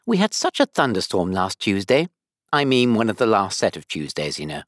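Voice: medium pitch, big pitch swings, normal speed, dry recording, clean studio sound, close to an everyday voice. Reader voicing a rambling, swooping old storybook queen. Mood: nervous. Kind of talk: storybook character reading.

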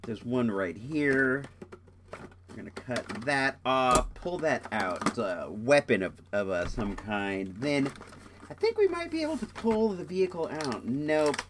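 A sick young man with a congested, stuffed-up voice, chatting casually as he unboxes a toy. His pitch swings up and down a lot, and he rambles and restarts his sentences with a joking tone. A faint noise sits behind him. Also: very animated, measured pace. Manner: rambling, jokey, self-interrupting. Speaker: podcast host.

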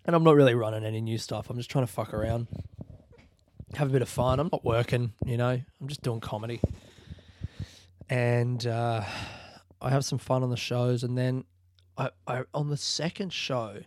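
Profane, rambling comedian. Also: male voice, medium pitch, steady tone, normal speed, long pauses, a little mellow, dry recording, clean studio sound. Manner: loose, profane and laughing, with many fillers. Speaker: comedian and podcast host. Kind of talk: rambling solo storytelling.

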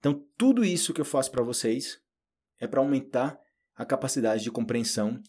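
A Brazilian man with a Brazilian Portuguese accent, giving a relaxed, chatty podcast lesson and addressing learners directly. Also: mid-range voice, brisk, breathy edge. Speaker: Portuguese teacher. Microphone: solo audio recording.

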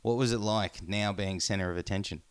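A man's voice: on the low side, fairly quick, a little hiss or hum. A man hosting a podcast, asking long, winding questions in a conversational way. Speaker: podcast host. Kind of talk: interview questions.

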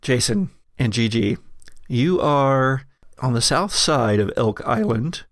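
A game master narrating a tabletop roleplaying game, explaining the scene in a halting, careful way. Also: mid-range voice, lively intonation, dry recording, studio quality.

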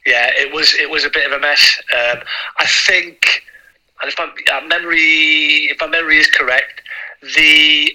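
A middle-aged Welsh man whose first language is Welsh, a former footballer telling stories in a relaxed chat, often repeating and restarting his sentences. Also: a little high, moderate pace, rough recording. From North Wales. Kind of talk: casual reminiscing chat.

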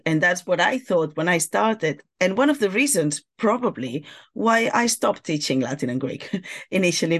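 A woman from Spain with a Spanish accent, talking casually as a guest about teaching Latin and Greek, thoughtful and lively with fillers. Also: fairly low pitch. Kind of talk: casual interview talk.

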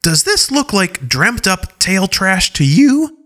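A man's voice: sits high, big pitch swings, slow, fairly bright tone, pitched above the performer's own voice, exaggerated voice. A man voicing a young female wolf-like warrior who speaks earnestly and stammers. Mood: defiant. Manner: flustered, apologetic stammer.

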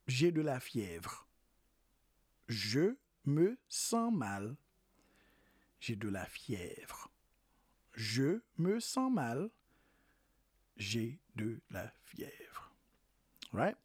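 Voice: medium pitch, sing-song, drawn-out words, long pauses, darkish tone, husky, dry recording, clean studio sound. A language teacher giving an informal lesson, repeating each phrase several times, with some hesitation and self-correction.

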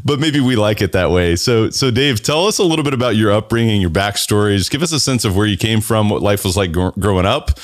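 A man hosting a podcast, energetic and motivational as he introduces a guest and asks questions. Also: on the low side, fast talker, hardly any pauses, no echo, clean studio sound. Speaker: podcast host. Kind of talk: upbeat podcast interviewing.

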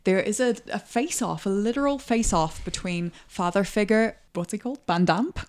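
A woman co-hosting a casual film podcast, playful and quick to laugh as she recaps the plot. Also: mid-range voice, darkish tone. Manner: playful, laughs often, joking. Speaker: podcast co-host.

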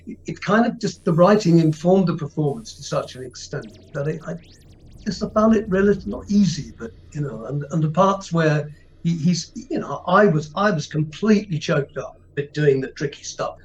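A man's voice: a little high, expressive, normal speed, a little hiss or hum. Earnest man on a dull-sounding line. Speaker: actor. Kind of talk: podcast interview answer.

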